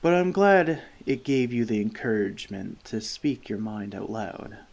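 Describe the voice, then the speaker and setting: Guy doing a friendly male elf for asmr roleplay, soft n slow, bit of gravelly fry, kinda formal and reflective. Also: on the low side, animated, exaggerated voice. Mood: thoughtful, earnest. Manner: measured, slightly formal wording, reflective. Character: friendly male forest elf, gentle, thoughtful, long-lived. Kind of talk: soft-spoken ASMR roleplay addressed to the listener.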